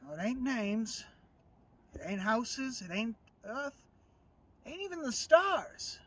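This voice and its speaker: A man's voice: extremely high pitch, sing-song, slow, recorded from a distance, heavily acted. A man playing a folksy, wry and wistful small-town cemetery guide in a musing, plain-spoken dramatic monologue. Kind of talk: dramatic monologue, oral interpretation.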